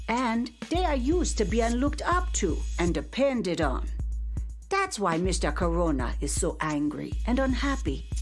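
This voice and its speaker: Slow, gentle, folksy caretaker; motherly and patient. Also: fairly high pitch. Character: kindly nursing home caretaker woman. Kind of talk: audio drama acting.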